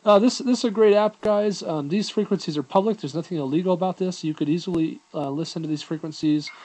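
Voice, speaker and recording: A man reviews an app in a casual, unscripted walkthrough. His voice sits a bit higher than average for a man. He explains each step in an informal, easygoing manner. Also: quick pace, a little mellow, a little hiss or hum, low-quality recording. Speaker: app reviewer giving a tutorial.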